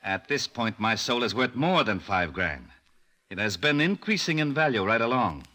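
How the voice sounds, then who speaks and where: An actor playing a cocky, hard-bargaining dice gambler, with taunting, oddly formal gangster phrasing in old radio drama style. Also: male voice, lowish voice, sing-song, moderate pace, bright, forceful tone. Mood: smug. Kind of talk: 1940s-50s radio drama acting.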